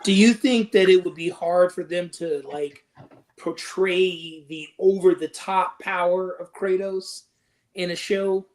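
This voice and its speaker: Guy chatting on a podcast panel, kinda high voice, laid out real casual. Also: fairly even intonation, slow pace. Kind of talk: casual chat.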